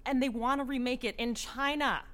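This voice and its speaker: Gushy high-voiced female TV executive. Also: steady tone, fairly quick, a bit bright. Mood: breezy, evasive. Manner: effusive, chummy, slangy. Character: gushy, breezy female television network executive. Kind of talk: satirical audio drama acting.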